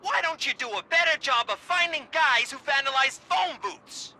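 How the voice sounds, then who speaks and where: A man's voice: very high-pitched, lively intonation, normal speed, sharp tone, a little hiss or hum, exaggerated voice. A man playing a jumpy, irritable street drug dealer in a video game, brash and agitated in his talk. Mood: angry.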